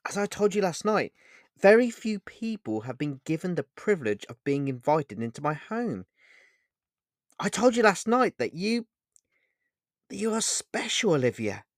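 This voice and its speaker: A man's voice: medium pitch, lively intonation, no echo, natural voice. A man performs an intense, charming male lover from a romance story. He chooses formal words and halts to repeat them with feeling. The sound is dry, with no room on it. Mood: hurt, emphatic. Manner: halting, repeats phrases, formal wording. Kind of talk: dramatised romance audiobook dialogue.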